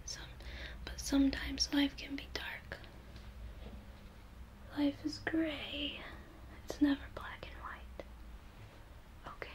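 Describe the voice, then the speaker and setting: A young woman whispering a gentle, soothing ASMR role play, reassuring the listener up close in a small room. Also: high voice. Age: young adult.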